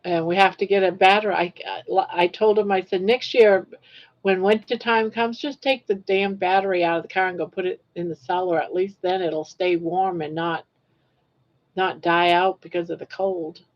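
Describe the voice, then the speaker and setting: An older woman from Maine with a southern Maine accent, hosting a casual livestream chat while reading viewer comments in a rambling, self-correcting way with soft trailing endings. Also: on the low side, steady tone, moderate pace, highs cut off. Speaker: livestream host.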